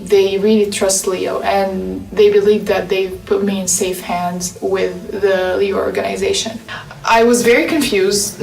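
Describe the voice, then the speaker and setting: A young woman gives a student testimonial with very little pitch movement and noticeable vocal fry. Her voice is recorded in an echoing room, a little away from the mic. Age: young adult. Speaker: university student. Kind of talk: personal testimonial. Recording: roomy, distant from the mic.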